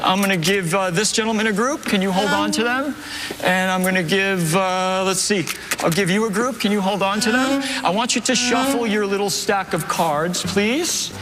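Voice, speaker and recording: A man's voice: high voice, moderate pace, background noise. A man performing a magic trick on a theater stage, giving the volunteers step-by-step instructions in upbeat live patter.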